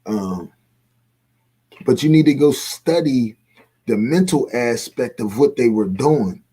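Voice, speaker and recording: A man's voice: medium pitch, animated, takes it slowly, mellow, breathy edge. A man with a mid-range voice talks as a guest in a casual online discussion. His delivery is colloquial and opinionated, full of slang. He often repeats phrases to drive a point home. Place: remote video call.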